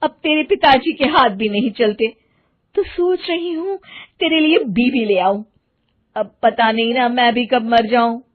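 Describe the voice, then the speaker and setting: A woman's voice: fairly high pitch, a little slow, phone-quality sound. A woman voicing a scheming, quarrelsome middle-aged village stepmother in a theatrical, scolding cartoon style. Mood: sugary, coaxing. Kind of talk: Hindi cartoon story voice acting.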